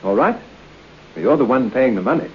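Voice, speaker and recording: Doubting gentleman for radio chiller, measured and courteous, slightly halting, husky voice, muffled recording. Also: medium pitch, sing-song, full character voice. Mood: dryly resolute. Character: sceptical, faithless, uncommitted man hired for a haunted night. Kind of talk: old-time radio horror drama acting. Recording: dull, muffled old radio broadcast.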